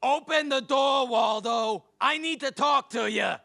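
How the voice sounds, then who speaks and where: A man voicing a grumpy, stubborn, proud monster sidekick with a gravelly, slangy, indignant voice in a cartoon audio drama. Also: extremely high pitch, measured pace, pushed and bright, exaggerated voice. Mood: angry shouting. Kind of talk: animated audio drama acting.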